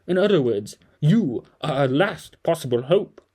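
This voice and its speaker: An actor playing a kindly, earnest old mentor in a family audio drama, speaking in formal, deliberate phrases. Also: medium pitch, expressive, dark tone, slightly rough. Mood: earnest, resolved.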